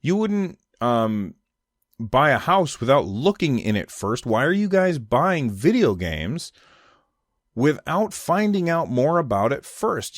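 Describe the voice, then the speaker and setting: A man's voice: mid-range voice, no echo, clean studio sound. A man hosting a solo podcast, giving casual opinion commentary in an emphatic, conversational way. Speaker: podcast host.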